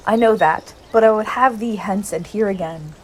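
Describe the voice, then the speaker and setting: A woman's voice: medium pitch, normal speed, lightly acted. Female actor as witty, scornful soldier bachelor, Shakespearean radio drama, theatrical soliloquy, slight background noise. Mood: scornful musing.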